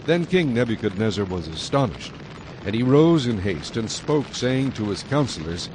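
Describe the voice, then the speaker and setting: A man's voice: lowish voice, big pitch swings, normal speed, background noise. A man narrating scripture from a script in a dramatized audio production.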